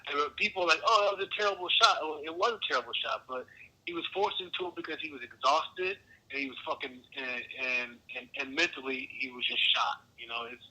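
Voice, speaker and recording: Bright, husky-voiced young man talking sports. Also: on the high side, fast talker, poor audio. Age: young adult. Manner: emphatic, rambling, swears casually, many restarts. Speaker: podcast host. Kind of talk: casual sports chat.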